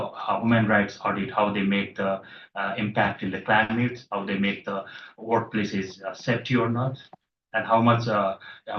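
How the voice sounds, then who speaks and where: A man from Nepal asking a halting question from the floor of a panel, with many fillers and restarts. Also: fairly low pitch, a little hiss or hum. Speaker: audience member asking a question. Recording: roomy hall sound from far off, dull.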